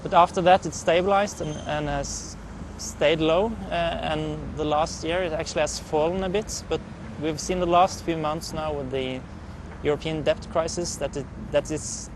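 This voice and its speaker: A man's voice: fairly high pitch, steady tone, normal speed. A man from Norway explaining unemployment figures in an interview, fluent but full of filler sounds and restarts. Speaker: interviewed expert on labor market. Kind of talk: interview answers. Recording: street noise behind.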